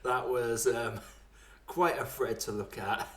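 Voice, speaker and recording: A man hosting a casual podcast chat, wandering into tangents in a halting, self-deprecating way. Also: mid-range voice, fairly even intonation, roomy sound. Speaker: podcast host.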